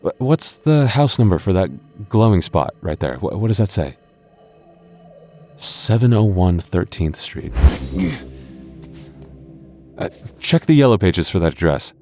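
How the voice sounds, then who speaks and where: A man's voice: low voice, big pitch swings, measured pace, long pauses, dark tone, soft background music. A man voicing a boyfriend in an ASMR roleplay, stammering through restarts and teasing playfully.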